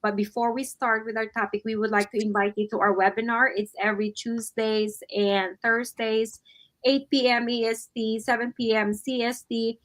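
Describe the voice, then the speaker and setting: A woman's voice: mid-range voice, fairly bright tone. Chatty woman co-hosting. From Philippines. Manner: chatty, leans on "you know" and "right". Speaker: livestream co-host. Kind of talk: casual livestream talk.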